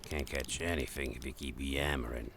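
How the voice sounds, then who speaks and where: An actor playing a gruff, irritable older father out fishing, curt and grumbling in a radio drama. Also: extremely low pitch, steady tone, moderate pace, noisy background.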